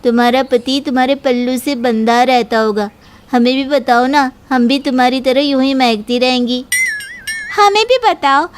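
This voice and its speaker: A woman's voice: high voice, moderate pace, slight background noise, lightly acted. A woman voicing a chatty, inquisitive young woman in a cartoon, with a coaxing manner. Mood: gushing, chatty. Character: chatty young women, inquisitive sister-in-law and friends. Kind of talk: cartoon dialogue.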